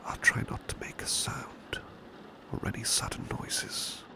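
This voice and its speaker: A man playing a devoted, gentle British butler in an ASMR roleplay, speaking softly and breathily, often in a whisper, with tender deference. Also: unhurried, natural voice. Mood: soothing, affectionate. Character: devoted, gentle male butler soothing a sleepy master. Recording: clear background ambience noise, rain. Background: rain ambience.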